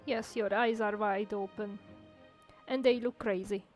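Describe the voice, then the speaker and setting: A woman's voice: medium pitch, steady tone, measured pace, a little mellow. A woman gaming streamer giving casual, playful commentary in short asides, muttering to herself as she plays. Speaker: gameplay reaction streamer. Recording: game music clearly behind.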